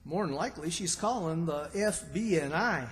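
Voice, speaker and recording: A man's voice: a little high, lively intonation, normal speed, soft background music, natural voice. A man playing a flustered, folksy older country character with a rural Southern American accent in an old-time radio comedy. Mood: worried. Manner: folksy, fretful, exclaiming. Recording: stage performance in reverberant hall.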